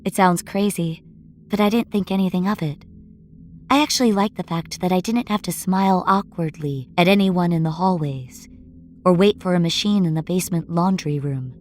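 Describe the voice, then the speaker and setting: A woman's voice: on the low side, moderate pace, darkish tone, soft background music, natural voice. A woman performing a wry, conversational young newcomer to the city, narrating first-person horror audio fiction. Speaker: story narrator.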